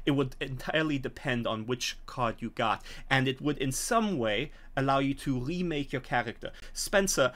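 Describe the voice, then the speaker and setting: A middle-aged man, explaining a tabletop scenario as game master in an animated way. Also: medium pitch, normal speed, hardly any pauses. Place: small home room, on a video call.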